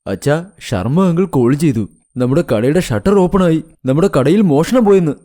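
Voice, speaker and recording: A man's voice: fairly high pitch, expressive, moderate pace, mellow, slight background noise, close to an everyday voice. A man playing an earnest, protective adult son in a cartoon moral story. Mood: alarmed, earnest.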